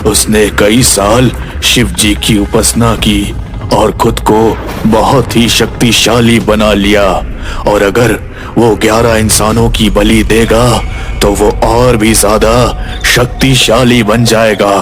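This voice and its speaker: A man playing a grave Aghori mystic who makes ominous, deliberate pronouncements of doom in an animated storybook tale. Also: on the low side. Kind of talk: Hindi animated storybook acting.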